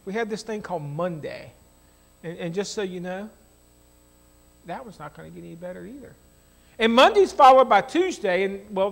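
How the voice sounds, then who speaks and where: High-pitched American man preaching. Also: vocal fry. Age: middle-aged. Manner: animated, emphatic, with rhetorical questions and repeated phrases. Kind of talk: conversational sermon. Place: church stage at a lectern, indoors.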